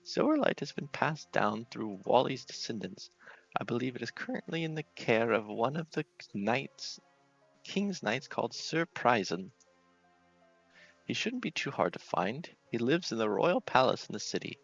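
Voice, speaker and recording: A man's voice: mid-range voice, very animated, normal speed, gravelly, slightly rough, dull audio, soft background music, exaggerated voice. A man voicing an elderly, mystical fortune-teller with a theatrical, portentous way of talking. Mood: encouraging.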